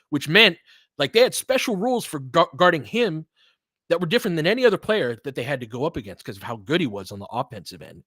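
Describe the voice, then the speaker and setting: A man's voice: medium pitch, a bit bright, dry recording. A man giving informal, partisan live basketball commentary as a host, reacting openly to the plays like a fan.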